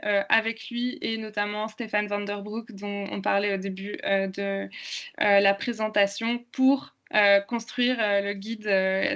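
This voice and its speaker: A woman's voice: medium pitch, a bit bright, muffled recording. A French woman giving a webinar presentation on health, hesitant and full of fillers over a video call. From France. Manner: hesitant, many fillers and restarts.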